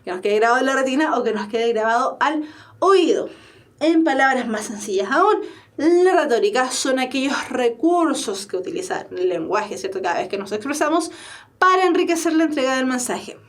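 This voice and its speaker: A woman's voice: sits high, animated, normal speed. A young woman teaching a literature class, explaining step by step and often checking in with her students. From Chile. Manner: explanatory, asks rhetorical "¿cierto?" often. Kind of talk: online exam-prep class.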